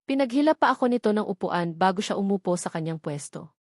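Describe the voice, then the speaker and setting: A Filipino woman narrates a romance novel in the first person. Her voice sits at an ordinary female pitch, reading from a script. The sound is close and dry, with no room echo. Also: expressive, fast, a little mellow. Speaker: audiobook narrator.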